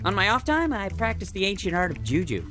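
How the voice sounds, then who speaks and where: A man's voice: sits high, wildly swooping pitch, fast. A man playing a confident young magic-wielding hero in a cartoon game, proud and showy. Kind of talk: animated game cutscene acting. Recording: game music behind the voice.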